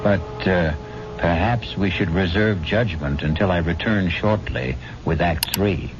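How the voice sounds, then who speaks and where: Muffled old radio, very deep mystery host, wry, ominous, deliberate, theatrical. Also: male voice, very noisy. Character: sardonic, ominous male host of mystery tales. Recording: muffled radio broadcast, loud music.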